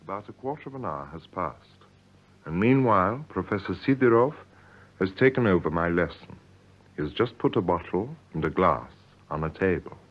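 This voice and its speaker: A man in a scripted 1950s radio language lesson, speaking carefully and deliberately as the learner and co-presenter. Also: low voice, lively intonation. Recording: dull, lo-fi 1950s radio recording.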